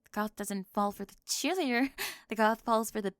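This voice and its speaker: A woman voicing a flustered, teasing high school cheerleader in an ASMR roleplay, halting and giggly, often dropping into breathy murmurs. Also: dry recording, studio quality, close to an everyday voice. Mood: nervous, insistent. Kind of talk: ASMR roleplay audio drama.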